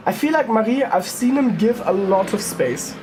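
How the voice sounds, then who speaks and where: A woman's voice: fairly high pitch, expressive, moderate pace, noisy background. A woman giving casual live commentary on a sim race, thinking aloud and correcting herself as she goes. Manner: informal, thinking aloud, hesitant self-correcting.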